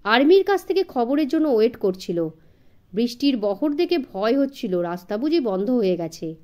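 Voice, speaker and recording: A woman voicing an affable, talkative gentleman traveller in an audiobook, wry and chatty, mixing in English. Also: fairly high pitch, very animated, normal speed.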